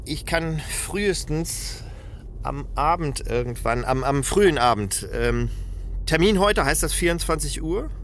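A man's voice: a little high, lively intonation, unhurried, fairly bright tone, a little hiss or hum. An actor playing a harried, pedantic middle manager and father on phone calls in a radio drama, officious and a bit hesitant. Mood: deferential, evasive. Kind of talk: naturalistic German radio drama dialogue. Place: phone calls made while driving a car.

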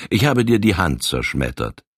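A man voicing a calm, fearless giant frontiersman who speaks with cool, firm command in an audiobook. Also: deep voice, moderate pace, husky, no echo, studio quality, exaggerated voice. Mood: cold. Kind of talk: audiobook character voice.

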